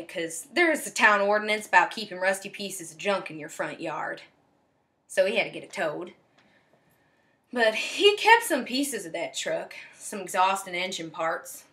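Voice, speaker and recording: A woman's voice: medium pitch, fairly bright tone, close to an everyday voice. A woman playing an odd, earnest small-town backwoods young woman, confiding in a folksy, matter-of-fact comic stage monologue. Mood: fond, wistful.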